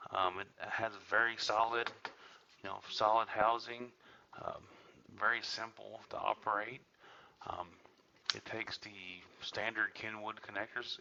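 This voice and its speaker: A man's voice: fairly low pitch, creaky, pushed and bright. A man giving a casual spoken product review. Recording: slightly dull sound with faint noise.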